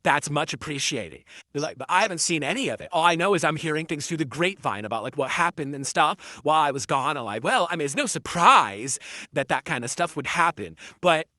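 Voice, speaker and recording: A man talks to his viewers from his home streaming room, rambling and defensive, with lots of fillers and false starts. His voice sits a little high, with a bright, forceful edge. The sound is dry and close, with no room echo. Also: lively intonation, brisk. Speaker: livestreamer. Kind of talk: rambling livestream chat with viewers.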